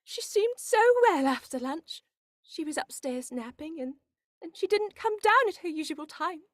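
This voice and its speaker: A woman's voice: high-pitched, animated, moderate pace, dry recording, studio quality. A woman playing a fretful, deferential household servant, theatrical, in an old-time radio horror play. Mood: distraught.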